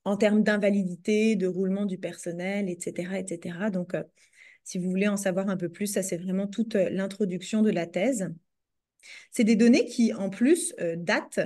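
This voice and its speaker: A woman's voice: medium pitch, steady tone, normal speed, mellow. A woman with a French accent, presenting her doctoral research to a group over a video call, hesitating often with fillers and restarts. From France. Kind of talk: academic presentation.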